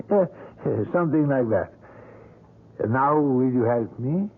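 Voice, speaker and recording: A man's voice: medium pitch, lively intonation. A sinister, overly polite sculptor, who speaks formally and hesitates often. He uses a mid-range voice. The sound is tinny and thin, like an old radio broadcast. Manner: halting, formal speech with many hesitations. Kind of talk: 1970s radio drama acting.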